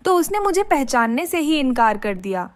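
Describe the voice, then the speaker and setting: A woman's voice: high voice, very animated, moderate pace, no echo, studio quality. A woman playing a kind, helpful village vegetable seller, earnest and exclaiming, in a children's moral story. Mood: puzzled.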